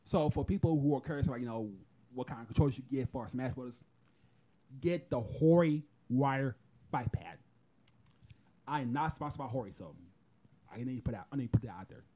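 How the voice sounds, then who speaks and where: Laid-back, dark-voiced man rambling. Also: mid-range voice, expressive, a little fast. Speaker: podcast co-host. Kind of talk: casual chat. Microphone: phone livestream.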